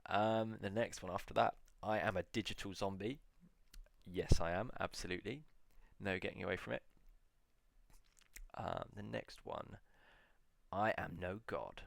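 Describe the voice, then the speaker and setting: A man in a casual solo video, musing aloud as he reads out old titles slowly, with many pauses and fillers. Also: low voice, steady tone, gravelly, no echo. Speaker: video blogger.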